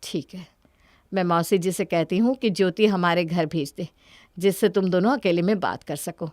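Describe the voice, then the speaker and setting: A woman voicing an ageing, anxious mother in a cartoon story, gently persistent and pleading. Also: on the low side, normal speed, no echo, studio quality, lightly acted. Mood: worried, coaxing. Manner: coaxing, pleading.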